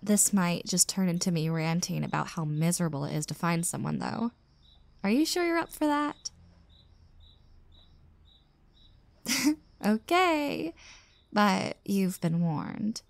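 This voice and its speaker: A woman's voice: lowish voice, expressive, measured pace, creaky, no echo. A woman playing an exasperated single young woman venting about a bad date in an intimate audio roleplay, with sarcastic asides. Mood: relieved, sheepish. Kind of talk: roleplay audio drama, intimate conversational monologue.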